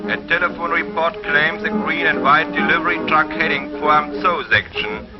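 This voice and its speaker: A man acts out a stern German police dispatcher in a vintage serial. His voice is a little high and his delivery deliberate. Loud music plays behind him on a dull, lo-fi soundtrack. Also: level tone, close to an everyday voice. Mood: stern, urgent. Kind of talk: 1950s serial police bulletin acting.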